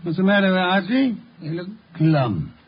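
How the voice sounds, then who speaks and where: An actor playing a brilliant, pompous, unflappable detective in a 1950s radio drama, with precise, formal diction. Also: male voice, high-pitched, big pitch swings, unhurried, slightly rough, full character voice. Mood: dry, gracious. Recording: dull, noisy old radio recording.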